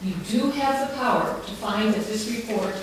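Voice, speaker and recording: A very reverberant, noisy recording from some distance away carries a woman's voice. She addresses a council meeting as a campaigner, earnest and measured, with emphasis on her key words. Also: on the high side. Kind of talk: prepared public speech and answers to questions. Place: large town hall meeting room. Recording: very echoey hall, distant mic, clear background noise.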